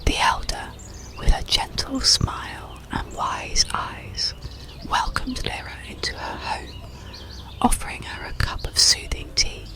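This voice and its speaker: A storyteller whispering a bedtime story and guided relaxation in a breathy, soothing, gentle ASMR manner. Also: a bass voice, wildly swooping pitch. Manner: slow, soothing, gentle delivery.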